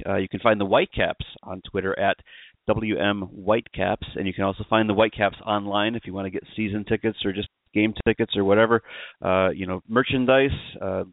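A man's voice: lowish voice, no echo, phone-quality sound. A man hosting a podcast in a casual, chatty sports style. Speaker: podcast host.

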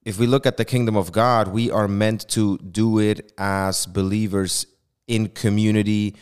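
Deep-voiced Swiss man, smooth and earnest. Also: steady tone, unhurried, darkish tone. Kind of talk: casual podcast conversation.

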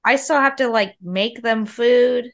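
A woman's voice: a little high, unhurried, fairly bright tone. Nasal woman podcaster with a cold. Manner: chatty, confiding storyteller. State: sick with a cold. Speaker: podcast co-host. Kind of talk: casual chat.

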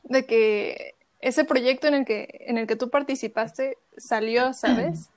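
A woman hosting a podcast, friendly and casual, filling pauses with little words. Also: fairly high pitch, steady tone. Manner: friendly, uses fillers like "este" and "bueno". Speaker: podcast host.

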